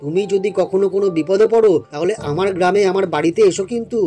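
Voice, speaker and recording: Man as a high-voiced kindly cartoon villager. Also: fast talker, warm tone, soft background music, lightly acted. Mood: grateful. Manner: earnest, friendly. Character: kindly, grateful village man, goat's loyal friend. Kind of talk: children's animated folk tale acting.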